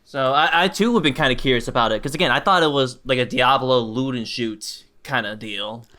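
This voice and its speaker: A man's voice: medium pitch, brisk, sharp tone. A man co-hosting a podcast, chatting in an easygoing way and often restarting his sentences. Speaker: podcast co-host. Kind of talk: casual chat.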